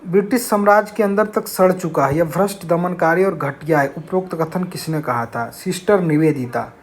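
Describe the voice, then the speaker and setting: A man's voice: on the high side, moderate pace. A man teaching an exam-prep lesson, reading out questions and explaining answers in a didactic, repetitive way. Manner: didactic, repeats key phrases.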